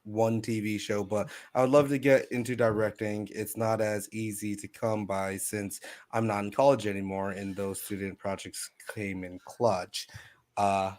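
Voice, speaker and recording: A man's voice: lowish voice, level tone, moderate pace, darkish tone. A man from Zimbabwe hosting a live chat show, reading viewer questions in a loose, joking way. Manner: loose and joking, laughs easily. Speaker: podcast host. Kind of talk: casual live-stream chat.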